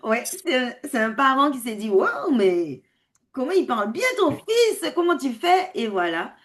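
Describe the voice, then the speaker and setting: A woman hosting an online information meeting for parents on a video call, speaking in an animated way, laughing often and changing pace. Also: high-pitched, unhurried. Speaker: host of a parents' club.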